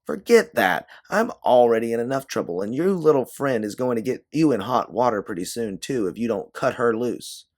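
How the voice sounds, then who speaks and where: A man's voice: medium pitch, lively intonation, moderate pace, hardly any pauses, pitched above the performer's own voice, natural voice. A man voicing a blunt, sarcastic, embittered woman time traveler on the run in an audio story. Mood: angry, exasperated.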